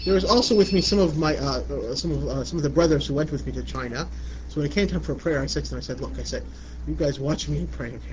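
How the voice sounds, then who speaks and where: A middle-aged man from Iraq giving a religious lecture in a conversational way, often asking "you know what I'm saying". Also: fairly high pitch. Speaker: Islamic lecturer. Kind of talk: religious lecture answering a question. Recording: muffled lo-fi recording with light background noise.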